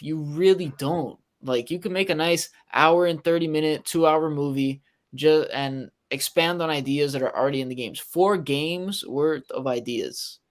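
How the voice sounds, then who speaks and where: A man co-hosting a podcast, giving casual, emphatic and exasperated opinions on films. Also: on the high side. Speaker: podcast co-host. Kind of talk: casual film review chat.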